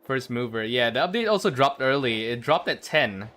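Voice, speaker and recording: A man's voice: mid-range voice, lively intonation, moderate pace, pushed and bright, a little hiss or hum. A man livestreaming a video game, giving slangy, offhand commentary as he chats to viewers. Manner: slangy, offhand, muttering to chat. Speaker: livestreamer, VTuber. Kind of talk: casual gameplay stream commentary.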